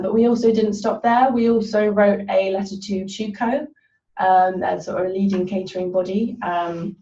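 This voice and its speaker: A woman's voice: mid-range voice, dark tone. Young woman presenting, many ums. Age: young adult. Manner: hesitant, frequent ums. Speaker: student presenter. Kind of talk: webinar presentation. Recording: distant, echoey, muffled webinar audio.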